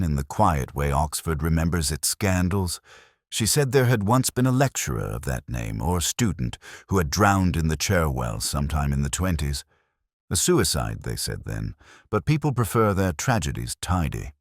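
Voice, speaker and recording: A man with an extremely low voice narrates a literary mystery as a brooding, learned inspector. His delivery is solemn and measured, yet the pitch rises and falls expressively. The sound is close and dry, with very little room in it. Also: sing-song, no room sound. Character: melancholy, erudite male English police inspector narrating his case. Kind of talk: scripted literary detective narration.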